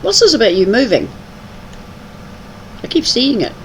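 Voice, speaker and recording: A woman's voice: fairly high pitch, sing-song, normal speed, slight background noise, low-quality recording. An older woman from New Zealand with a mixed Kiwi and English accent, chatting casually while she plays a game on a livestream. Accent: New Zealand with some English. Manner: chatty, teasing. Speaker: livestream host. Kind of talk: casual chat while gaming.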